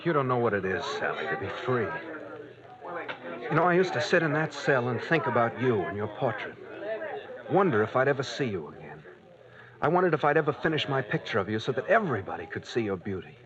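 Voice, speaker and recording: An actor playing a charming, ingratiating painter who is a murderer, talking tenderly in 1940s radio style on a muffled old recording. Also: male voice, mid-range voice, sing-song, brisk, crowd noise, lightly acted. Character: charming murderous male artist, nervous and smooth.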